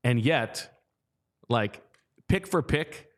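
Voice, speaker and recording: A man's voice: mid-range voice, expressive, drawn-out words. A man co-hosting a sports podcast, talking conversationally with plenty of fillers and pushing back on his co-host. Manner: conversational, lots of fillers, sharp pushback. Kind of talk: casual sports chat.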